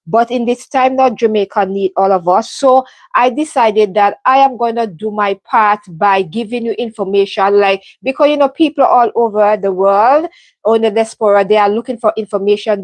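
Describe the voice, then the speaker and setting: A woman's voice: medium pitch, a little mellow, no echo. Earnest Caribbean woman on a live stream. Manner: earnest, heartfelt, many ums and restarts. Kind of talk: casual live stream update. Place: home in London.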